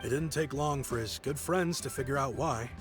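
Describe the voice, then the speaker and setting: An actor playing a proud yet kindly merchant in a video game, speaking in a friendly, reflective, softly rueful way. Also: male voice, medium pitch, moderate pace, lightly acted. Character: nostalgic grown-up male merchant, proud but kindly. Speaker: businessman. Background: game music.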